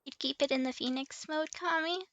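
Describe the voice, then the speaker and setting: Woman, very high bright voice, creaky edges, playful, giggly, casual game stream commentary. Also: normal speed, no room sound, muffled recording. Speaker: livestream host playing an online game. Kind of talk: casual chat with game commentary and dialogue read aloud.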